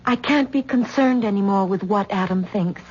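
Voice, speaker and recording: An actress playing a weary young wife who speaks in a subdued, hesitant way, in a 1970s radio drama. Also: female voice, mid-range voice, moderate pace, a little mellow, breathy edge, natural voice. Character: weary young wife, Tessa, leaving husband. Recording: dull old radio broadcast recording.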